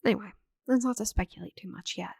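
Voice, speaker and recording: A woman playing a friendly, chatty, slightly scatterbrained forest witch in an ASMR roleplay audio drama, with a gentle, theatrical manner. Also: mid-range voice, fast talker, gravelly, slightly rough, no echo, clean studio sound. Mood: curious helpful.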